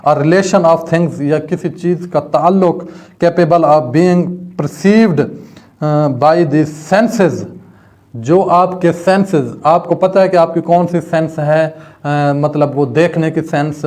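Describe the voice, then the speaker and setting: A male teacher with a Pakistani accent gives a didactic lecture in a fairly high voice. His delivery is very slow and deliberate. He moves between reading and explaining, setting up questions that he answers himself. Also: a little mellow. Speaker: law teacher. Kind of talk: lecture, reading clauses aloud and explaining them.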